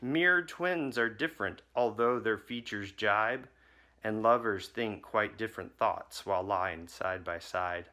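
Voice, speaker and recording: A man lighting the chalice at a service and reading a poem in a measured way. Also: on the low side, bright, forceful tone.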